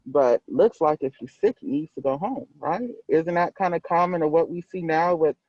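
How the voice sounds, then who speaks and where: A man's voice: fairly high pitch, moderate pace, no room sound, lo-fi sound. A man hosting a casual story time, reading aloud and putting questions to his audience from inside a car.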